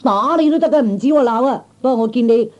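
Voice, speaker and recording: A man's voice: extremely high pitch, fast talker, a little mellow, muffled recording. A man playing a big, cocky, belligerent bully who taunts people in a Cantonese comic radio play. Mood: taunting. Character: big loud cocky bully spoiling for a fight.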